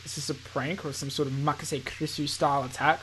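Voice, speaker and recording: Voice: medium pitch, level tone, a little fast, fairly bright tone, slight background noise. A gaming video host reading a visual novel aloud with playful asides, often stumbling over words and self-correcting.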